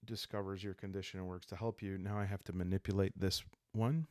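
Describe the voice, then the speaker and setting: A man hosting a solo roleplay game playthrough, calm and soft-spoken, improvising the story and thinking aloud as he goes. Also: low voice, a little fast, no echo, studio quality. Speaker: host playing a solo journaling roleplay game.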